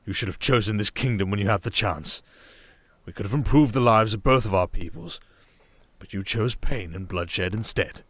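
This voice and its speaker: A man playing a proud, accusing enemy duke in a fantasy audio drama, theatrical, condescending and deliberate. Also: lowish voice, a bit bright, no room sound. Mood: scornful. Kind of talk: audio roleplay drama acting.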